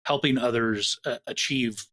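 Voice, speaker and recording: A man's voice: medium pitch, unhurried, pushed and bright. A man who produces a podcast, talking at length in a casual discussion with plenty of examples. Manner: expansive, storytelling.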